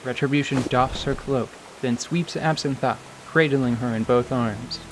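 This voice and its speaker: A man narrating scripted fantasy in a measured storytelling manner. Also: mid-range voice, noisy background.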